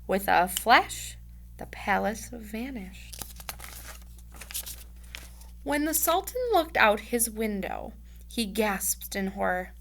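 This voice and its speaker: A woman's voice: mid-range voice, animated, slow, vocal fry, long pauses, fairly bright tone. Woman reading a storybook aloud, expressively. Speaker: teacher reading aloud to students. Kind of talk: storybook read-aloud with casual asides.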